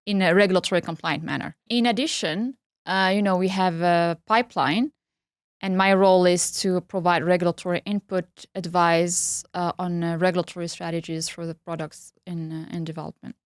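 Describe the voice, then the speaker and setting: Articulate middle-aged woman, smooth voice. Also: on the low side, fairly even intonation, fairly quick, no echo, studio quality. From Algeria. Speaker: guest, pharma regulatory head. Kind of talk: interview conversation.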